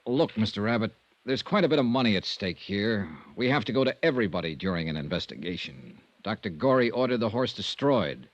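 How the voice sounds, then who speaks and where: Actor as firm, low-voiced radio insurance investigator. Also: male voice, lively intonation, fairly quick, natural voice. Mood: measured. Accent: American. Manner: clipped, businesslike questioning. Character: firm, persistent male insurance investigator, dry and unflappable. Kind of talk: 1950s radio detective drama acting. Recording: dull, dry vintage radio recording.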